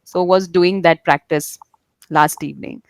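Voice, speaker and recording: Attentive woman host with a lowish voice. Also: steady tone, unhurried, no room sound. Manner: attentive, asks questions, frequent "mm-hmm" backchannels. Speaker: interviewer, host of the show. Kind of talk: casual conversational interview.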